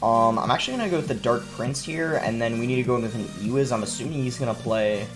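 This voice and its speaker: Young male streamer, mid-pitched, congested from a cold, easygoing play-by-play over soft game music. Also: fast. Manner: relaxed, explanatory play-by-play with many fillers. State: sore throat and stuffy nose from a cold. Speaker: gaming streamer. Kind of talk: live gameplay commentary. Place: indoor room at a gaming desk.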